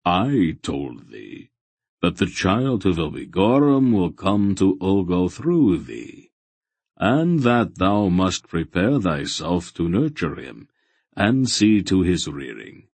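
Man acting ancient male deity, fantasy audiobook, deep, slow, stern, majestic, archaic commanding delivery. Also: big pitch swings, husky, no echo, full character voice. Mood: grave reproach. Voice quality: soft, solemn voice.

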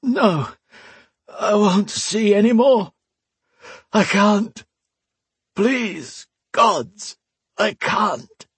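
A man voicing a wounded, delirious young man, raspy and out of breath with pain. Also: sits high, animated, very slow, pauses often, a bit bright, no room sound, pitched above the performer's own voice, heavily acted. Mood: desperate. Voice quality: raspy, wheezing, strained.

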